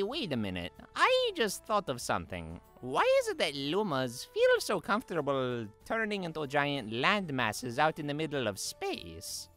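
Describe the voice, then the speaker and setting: A man puts on a good-natured cartoon plumber hero hosting a comedy show. The delivery is friendly and teasing, unhurried overall, with very animated swoops in pitch and music underneath. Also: exaggerated voice. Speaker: show host. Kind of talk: cartoon fan dub comedy banter.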